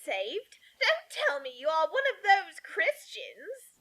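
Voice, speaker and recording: Lady doing a snooty scornful aunt, really high sharp voice, swoopy delivery. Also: wildly swooping pitch, slow pace, heavily acted. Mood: scornful, smug. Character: snooty, scornful suburban aunt who mocks believers. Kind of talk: comic dramatic reading.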